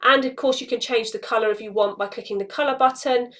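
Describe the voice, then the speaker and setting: A woman's voice: a little high, fast, fairly bright tone. A woman around her forties hosting a casual instructional walkthrough, explaining things step by step. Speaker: social media tutorial host. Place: small indoor room.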